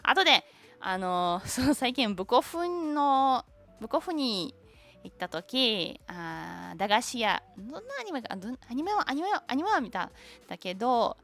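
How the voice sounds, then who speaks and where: A young woman whose first language is Tatar, chatting casually on a stream, halting and searching for words, with many fillers. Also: a little high, big pitch swings, fairly bright tone. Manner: switches between Japanese and English. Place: lives in Japan.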